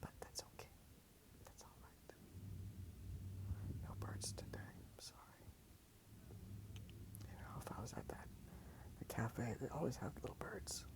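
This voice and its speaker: A man whispering softly in a breathy, gravelly voice, rambling casually and a little hesitantly in an ASMR style. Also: medium pitch, expressive, a little slow, long pauses, rough recording. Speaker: ASMR video creator. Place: outdoors in a park.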